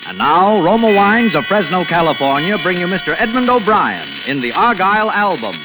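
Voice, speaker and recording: A man's voice: high voice, big pitch swings, normal speed, over music. A man announcing a 1940s radio drama with an emphatic, showmanlike delivery. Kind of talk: 1940s radio show introduction. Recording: noisy vintage radio recording.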